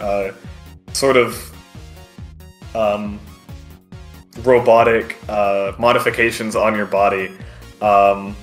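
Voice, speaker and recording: A man improvising narration as game master of a tabletop game, in a halting, hesitant way. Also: on the low side, fairly bright tone.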